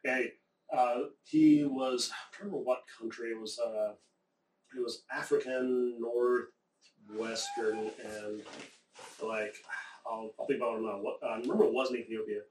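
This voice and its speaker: A man from Appalachia in the American South talks in loose, rambling conversation, profane and quick to get animated. His voice sits in a middle register. The room is echoey, and he sounds a little far from the mic. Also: mid-range voice, a little hiss or hum. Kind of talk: casual conversation.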